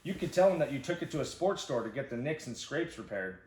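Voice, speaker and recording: A man's voice: mid-range voice, very animated, quick pace, a little mellow, a little hiss or hum, natural voice. A man voicing a playful child hockey teammate in a storybook, recorded in an echoey room. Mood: helpful. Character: child hockey teammates, teasing, helpful friends. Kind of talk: storybook character voice.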